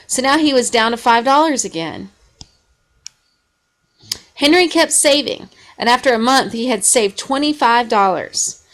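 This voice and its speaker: A woman reading a children's story aloud in an expressive way. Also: fairly high pitch. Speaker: storybook reader.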